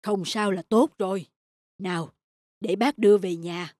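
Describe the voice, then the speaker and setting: A woman voicing a kindly, wise monkey uncle who gives gentle advice in an animated fairy tale. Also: medium pitch, unhurried, a little hiss or hum, lightly acted. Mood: reassuring. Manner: gentle, advising.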